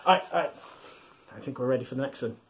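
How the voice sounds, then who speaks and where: A man in his thirties reading aloud to young children in a playful, exaggerated, encouraging way, recorded in a small room at home. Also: mid-range voice. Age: 30s. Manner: addresses listeners with questions and pauses. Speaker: children's bedtime storyteller. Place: small home room full of soft toys.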